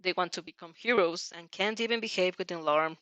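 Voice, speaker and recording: A woman voicing a gruff, tired male teacher who is terse but quietly caring, in fan fiction dialogue read aloud. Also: fairly quick, dry recording, close to an everyday voice. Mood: displeased muttering. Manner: muttering, terse, low-key. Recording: slightly dull, dry recording.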